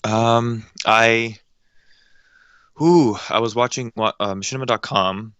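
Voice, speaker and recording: A man's voice: mid-range voice, fairly even intonation, slow, fairly bright tone, clear, resonant tone, dry recording, muffled recording. A young man in his late teens, a voice actor and director, answering interview questions in a hesitant, modest way with frequent ums. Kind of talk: casual interview chat.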